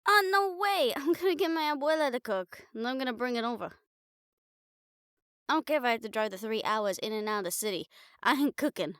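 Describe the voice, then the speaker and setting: A woman's voice: fairly high pitch, expressive, a little fast, fairly bright tone, clear, resonant tone, no room sound, clean studio sound. A woman playing a wry, affectionate, bossy girlfriend in an improvised, intimate audio roleplay, talking casually with slangy teasing and swearing. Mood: playfully insistent. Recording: music behind the voice.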